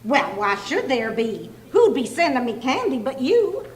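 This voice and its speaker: A woman's voice: high-pitched, big pitch swings, unhurried. A woman playing an affectionate, teasing housewife who fondly scolds, in old-time radio comedy style. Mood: delighted, affectionate. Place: community clubhouse stage performance. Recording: echoey live room, faint noise.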